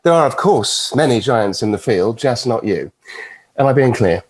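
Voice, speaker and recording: A suave British devil in a table read, arrogant and drily witty. The voice sits at a middle pitch, urbane and sardonic. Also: male voice, lively intonation, normal speed, breathy edge. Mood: haughty. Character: suave, arrogant male devil with dry wit.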